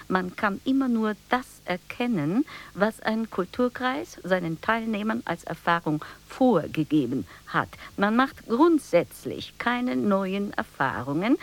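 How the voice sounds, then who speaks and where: An actress playing a brooding, sardonic, anxious woman in a radio play monologue, with a breathy, ruminating delivery. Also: lowish voice, exaggerated voice. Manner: theatrical, ruminating, drawn-out emphatic phrasing.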